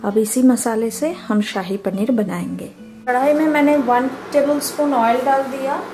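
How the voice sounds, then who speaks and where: A woman's voice: high voice, steady tone, moderate pace, music in the background. A woman with an Indian accent narrating a cooking tutorial step by step, mixing in English words as a home cook hosting her channel. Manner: simple, instructional, switches between Hindi and English.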